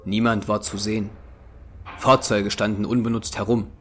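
Low-voiced male audio drama narrator. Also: little pitch movement, normal speed, slight background noise. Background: music.

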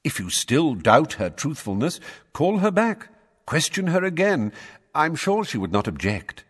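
Man doing a calm polite old wizard, measured, bit higher than his own voice, husky, echoey. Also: heavily acted. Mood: calm, courteous. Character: calm, courteous old male wizard, quietly firm.

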